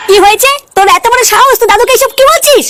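Exasperated cartoon mother woman performer: piercingly high, quick, nagging, noisy sound. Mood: stern. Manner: nagging, threatening. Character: exasperated, scolding mother of a naughty boy. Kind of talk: comedy cartoon dialogue.

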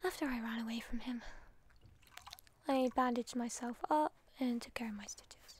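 A British woman playing an obsessive, lovesick captor in an intimate whispered ASMR roleplay, breathy, cooing and emotionally fragile. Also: a little high, normal speed, slight background noise, close to an everyday voice. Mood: tender, confiding. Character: obsessive lovesick female captor, tender, volatile, doting on captive.

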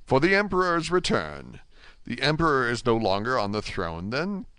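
A man's voice: medium pitch, huge pitch swings, moderate pace, pushed and bright, clean tone, dry recording, clean studio sound, full character voice. A man voicing a desperate young sailor held prisoner, earnest and pleading, in an audiobook style. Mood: anxious surprise. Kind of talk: audiobook character acting.

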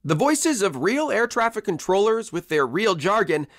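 A man's voice: sits high, sing-song, normal speed. A man in his thirties narrating a scripted television news report as the reporter.

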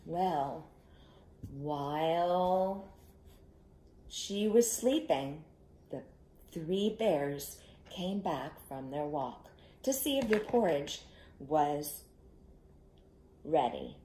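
A middle-aged woman telling an interactive story to young children, expressive and instructive, inviting them to join in, recorded in a living room. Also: deep voice, very slow, pauses often, reverberant, a little hiss or hum, rough recording. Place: small living room, indoors. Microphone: camera a short distance away.

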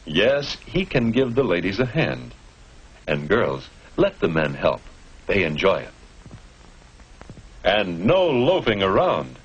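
Deep-voiced 1950s instructional film narrator, swooping intonation. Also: male voice, huge pitch swings, slow pace, pauses often, breathy edge, dull audio. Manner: instructive, rhetorical questions to the viewer. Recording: noisy old 1950s film soundtrack.

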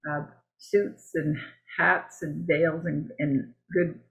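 A woman from Canada with a gravelly, creaky voice walks through her slides, stopping and restarting often between fillers. The sound is thin and tinny, like narrow video-call audio. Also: low-pitched, level tone. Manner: halting and conversational, with many fillers and restarts. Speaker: beekeeping presenter.

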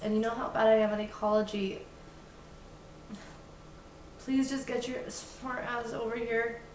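A young woman playing an anxious, hesitant caller in a naturalistic short film, speaking haltingly. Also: on the high side, steady tone, close to an everyday voice. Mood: stressed, pleading. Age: young adult. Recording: noisy location sound, background hiss.

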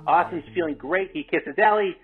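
A man's voice: high-pitched, normal speed. A man reading stage directions as narrator of a script table read. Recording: tinny, phone-like call audio with music.

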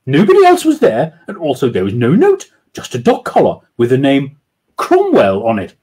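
A man reading aloud and voicing a smug, brisk woman who manages an office. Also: medium pitch, huge pitch swings, a little mellow, slightly rough. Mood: chatty. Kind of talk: storybook reading.

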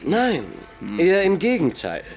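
An actor playing a self-assured, superior master detective with a precise manner in an audio drama. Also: male voice, high-pitched, wildly swooping pitch, measured pace, faint music behind, full character voice.

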